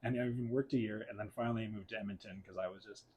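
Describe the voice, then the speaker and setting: A man's voice: fairly low pitch, fairly even intonation, very fast, a little mellow, a little hiss or hum. A young adult man from Portugal, raised in western Canada, candidly telling his life story as a guest in a casual chat and laughing often. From Portugal, raised in Alberta, Canada.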